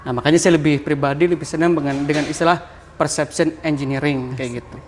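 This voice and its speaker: A man with a broad Javanese ngapak accent and a fairly high voice talks through his ideas in a relaxed podcast chat. He keeps tagging his points with easy fillers. A faint room ambience sits behind him. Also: quick pace, a little hiss or hum. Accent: Javanese Banyumas (ngapak). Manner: explains with many "kayak gitu" tags, laughs easily. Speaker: podcast guest, communication mentor. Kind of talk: casual podcast interview.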